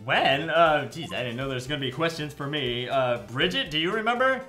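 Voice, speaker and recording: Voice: mid-range voice, animated, brisk, bright, forceful tone, soft background music. A performer voicing a brash, sarcastic defense attorney who rambles with goofy asides in a comedic video game fandub. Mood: flustered, joking.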